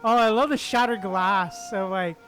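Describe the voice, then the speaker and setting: A woman game streamer giving casual, excitable, exclamatory commentary as she plays. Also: medium pitch, normal speed, low-quality recording. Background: game music.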